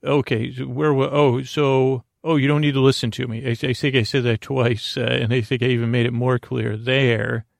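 Creaky-voiced man rambling softly at bedtime. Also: mid-range voice, flat delivery, no echo, studio quality. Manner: lulling, meandering, halting with tangents and self-interruptions. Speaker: podcast host.

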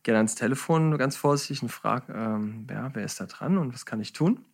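A man's voice: mid-range voice, a little fast, creaky. A middle-aged man, a podcast guest, telling anecdotes in a casual, somewhat hesitant way. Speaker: podcast guest, celebrity hairstylist. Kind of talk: casual anecdotal interview chat.

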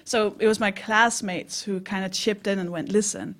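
A woman's voice: medium pitch, steady tone, moderate pace, fairly bright tone. A woman comedian with a mid-range voice tells a personal story on stage. Her delivery is conversational and self-deprecating, as a co-host of a live comedy podcast. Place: small live comedy venue.

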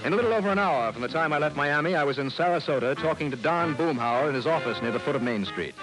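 An actor playing a breezy, wry insurance investigator in a mid-century radio drama. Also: male voice, fairly high pitch, lively intonation, fast, over music, lightly acted, poor audio. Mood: brisk narrating.

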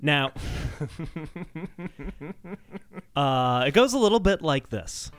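A man's voice: fairly high pitch, big pitch swings, slow, clear, resonant tone, soft background music. A man hosting a comedic podcast, chatting casually with a jokey, mock-serious delivery. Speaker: podcast host.

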